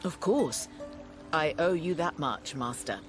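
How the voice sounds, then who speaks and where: An actress playing a loyal, respectful and confident young adventurer, a former pupil, in a video game. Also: female voice, low-pitched, lively intonation, slow. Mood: warm, loyal. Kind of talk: video game cutscene acting. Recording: music under the voice.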